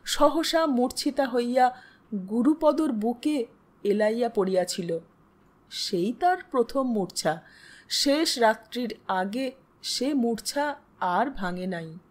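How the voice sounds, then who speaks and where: A woman reading a literary story as an audiobook narrator, in a measured, formal manner. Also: on the high side, lively intonation.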